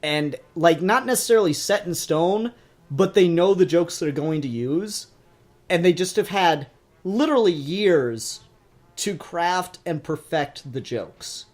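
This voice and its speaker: A man's voice: a little high, expressive, a little slow. A man co-hosting a podcast, giving opinionated, emphatic takes with plenty of swearing in a casual fan discussion. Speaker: podcast co-host.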